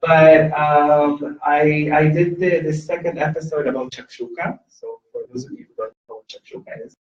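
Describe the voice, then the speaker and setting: A man's voice: fairly high pitch, big pitch swings. Earnest man speaking in an echoey hall. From Lebanon. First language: Arabic. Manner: earnest and impassioned, with halting restarts and fillers. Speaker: invited speaker at a campus event. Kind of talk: personal testimony talk to an audience. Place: university event hall. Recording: echoey hall, dull and distant.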